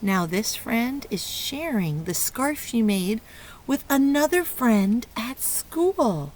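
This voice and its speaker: Expressive woman reading slowly to young children. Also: a little high, low-quality recording. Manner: encouraging, praising child listeners; expressive, exclaiming and prompting. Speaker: preschool teacher reading a picture book aloud. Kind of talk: children's read-aloud with questions to young listeners. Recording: clear background noise.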